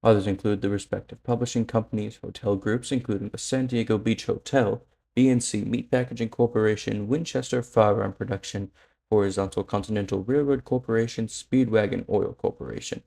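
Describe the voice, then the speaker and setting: A man playing a formal, serious official in a comic dub, speaking in a measured way. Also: on the low side, steady tone, creaky, mellow. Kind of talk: comic-book dub voice acting.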